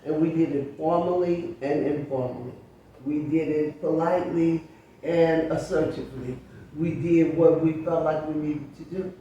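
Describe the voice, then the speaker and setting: A woman's voice: sits low, moderate pace, dull audio, slight background noise. Older woman telling stories, echoey distant mic. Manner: storytelling, lively recollection. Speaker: guest, author. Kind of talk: reminiscing interview talk.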